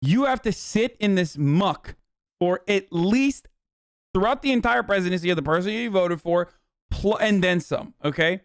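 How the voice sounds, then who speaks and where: An argumentative man doing live reaction commentary on his stream. His voice is crisp and fairly high, recorded dry. He sounds exasperated and swears freely. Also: expressive, moderate pace, no echo. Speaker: streamer host.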